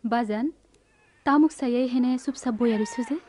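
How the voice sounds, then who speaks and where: An actress playing an anguished, devoted young village sister and daughter in a Bengali radio drama, plaintive and emotional, with a rural Bangladeshi dialect. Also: female voice, a little high, brisk, mellow, lightly acted. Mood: subdued.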